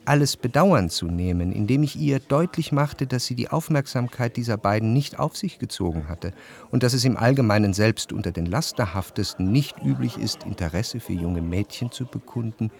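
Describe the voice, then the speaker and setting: An actor playing a reflective, jealous gentleman who narrates a literary radio drama in measured, introspective phrases. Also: fairly low pitch, lively intonation, creaky. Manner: measured, introspective, long formal sentences.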